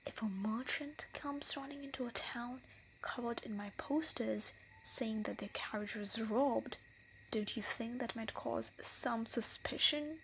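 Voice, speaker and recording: A woman's voice: fairly high pitch, a little slow, gravelly, a bit bright, slight background noise, low-quality recording. A woman playing a wily, menacing thief in a scripted fantasy audio roleplay, with a theatrical delivery. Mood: hushed, plotting.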